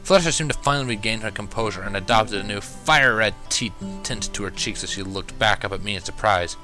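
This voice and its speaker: A man's voice: fairly low pitch, brisk, sharp tone, faint music behind. A man narrating a first-person fan fiction story in a wry, dramatic manner.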